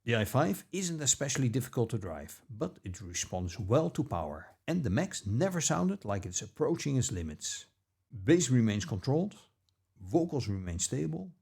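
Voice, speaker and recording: A man reading a scripted product review in a measured, deliberate manner, with short pauses between phrases. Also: medium pitch, creaky, darkish tone, husky. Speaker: audio gear reviewer.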